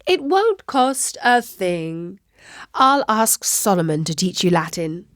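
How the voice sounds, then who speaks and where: A woman's voice: medium pitch, sing-song, takes it slowly, dry recording, studio quality. A woman voicing a firm, wise witch mother in a storybook, explaining things emphatically. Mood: matter-of-fact.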